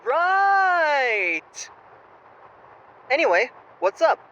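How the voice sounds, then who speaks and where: A young man playing a flippant, self-absorbed exchange student, evasive and excitable, in an audio drama phone call. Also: very high-pitched, drawn-out words, heavily acted. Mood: awkward, evasive. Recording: noisy phone-call style recording.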